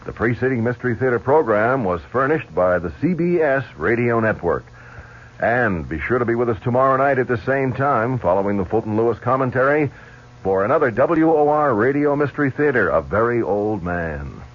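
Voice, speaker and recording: A man's voice: medium pitch, lively intonation, a little fast. A man reading scripted station announcements and sponsor credits on the radio. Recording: muffled 1970s radio broadcast.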